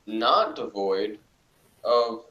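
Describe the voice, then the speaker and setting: A man chatting casually, critical and sarcastic with quick asides. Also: on the low side, sharp tone, roomy sound, lo-fi sound. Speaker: podcast co-host reviewing a TV episode. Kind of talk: casual chat.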